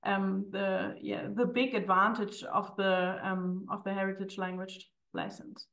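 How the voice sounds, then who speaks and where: Woman on a call, flat tone, echoey room, far off the mic, lots of uh. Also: medium pitch. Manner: halting, many fillers. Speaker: panelist. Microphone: video call.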